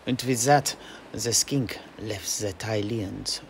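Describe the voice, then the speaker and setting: A man telling a tale in character for a fantasy audio play, in dramatic, measured phrases. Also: on the low side, creaky, background noise. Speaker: host, storyteller.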